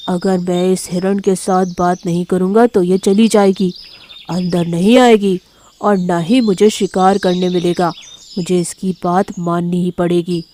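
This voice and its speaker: A calculating lion predator played by a woman in a cartoon fable, muttering schemes to himself. The tone is dark and fairly low, with faint birds and insects behind. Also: little pitch movement, unhurried, a little hiss or hum, natural voice. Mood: scheming. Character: scheming predatory lion plotting to trick his prey. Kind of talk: animated moral story dialogue.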